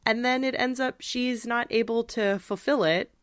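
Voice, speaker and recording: A woman's voice: fairly high pitch, moderate pace, no echo. A woman co-hosting a podcast, explaining her points in a casual, analytical and slightly wry way. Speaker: podcast co-host.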